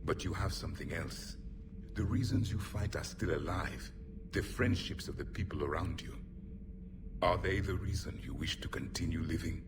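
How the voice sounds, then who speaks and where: Low-voiced alien soldier, grave and mournful, formal deliberate phrasing, video game drama, loud background ambience. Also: male voice, background noise. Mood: probing, grave. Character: grave, mournful alien warrior, last survivor of his people. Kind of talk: cinematic video game dialogue acting.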